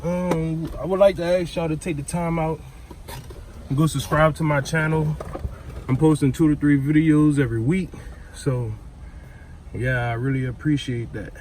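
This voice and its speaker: A man's voice: a little high, steady tone, moderate pace, pauses often, darkish tone. An American man with an informal, slangy way of talking, chatting casually as he demonstrates a hands-on job for a vlog. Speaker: vlogger demonstrating a DIY car install. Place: in or around a vehicle. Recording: clear background noise, vehicle ambience.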